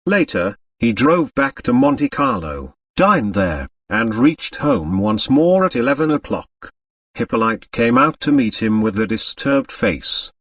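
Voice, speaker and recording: A man's voice: fairly low pitch, big pitch swings, a little slow, dry recording, studio quality, far from the mic. A man reading a novel aloud as a scripted audiobook narration.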